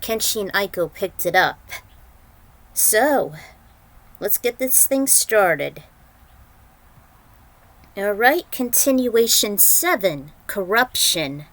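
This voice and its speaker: A woman's voice: mid-range voice, sing-song, slow pace, long pauses, fairly bright tone. A woman hosting a story reading, giving an informal, conversational recap and introduction. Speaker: host reading own fan fiction aloud.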